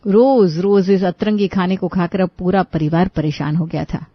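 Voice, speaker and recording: A woman's voice: fairly low pitch, steady tone, normal speed, dry recording, dull audio. A woman storyteller reading a scripted comic moral tale as narrator.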